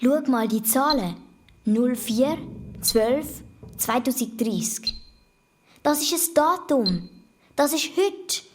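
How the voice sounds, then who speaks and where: A woman's voice: sits high, takes it slowly, pauses often, a little hiss or hum. A woman playing a bold, curious girl twin with a Swiss accent in a children's radio play, speaking eagerly. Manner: eager, inquisitive, excited.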